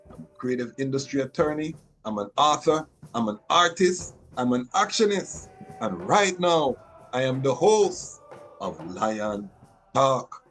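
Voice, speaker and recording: A middle-aged man welcomes listeners and guests to his show. His delivery is emphatic and rhythmic, with frequent restarts mid-phrase. His voice sits at an ordinary middle pitch for a man. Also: fairly bright tone. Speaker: podcast host. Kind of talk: podcast interview.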